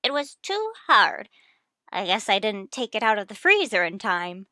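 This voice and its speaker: A woman's voice: mid-range voice, sing-song, moderate pace, bright, forceful tone, no room sound, clean studio sound. An actress playing a chatty, dotty, kindly older landlady in a 1950s radio sitcom, with a fussy manner.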